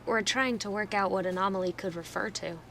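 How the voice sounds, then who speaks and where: A woman's voice: mid-range voice, steady tone, brisk, bright, forceful tone, slight background noise. A woman playing a friendly, inquisitive amateur investigator in natural audio drama dialogue. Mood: curious, friendly. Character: Cam, friendly, inquisitive female fellow investigator.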